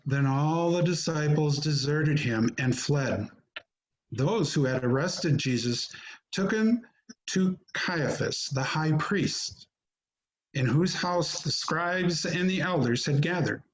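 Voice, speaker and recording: A man narrating scripture from a script in a deliberate, solemn manner. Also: a little high, expressive, poor audio. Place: remote recording on a video call. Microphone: video call.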